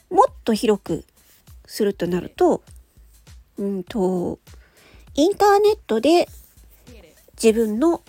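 A woman's voice: mid-range voice, creaky, darkish tone, slightly rough, no echo. A woman hosting an audio show, explaining things step by step in a casual, polite way.

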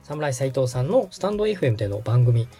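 Man, mellow tone, animated pitch, patient tutorial delivery, polite, background music. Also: a little fast. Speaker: host and radio director guiding a setup. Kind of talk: conversational step-by-step tutorial.

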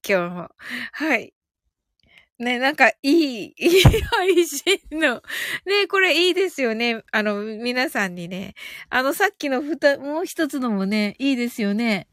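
A woman with a high voice hosts a casual live stream, recorded close and dry. She sounds cheerful and giggly, often thinking aloud while chatting with listeners and reading their comments. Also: a bit bright, no echo. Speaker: host of a live audio stream.

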